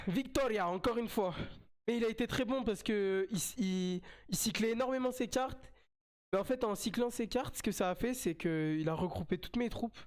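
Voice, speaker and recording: A man's voice: high voice, a little mellow, smooth. A man with a French accent and a slight cold, commentating his own mobile game live as a streamer, chatty and repeating himself as he plays.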